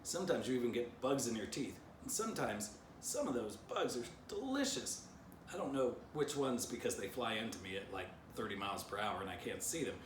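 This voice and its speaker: A man voicing an eager, rambling pet dog in a children's storybook, begging excitedly. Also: medium pitch, a little fast, natural voice. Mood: pleading. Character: excitable, pleading, window-loving male pet dog. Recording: distant mic, roomy, noisy.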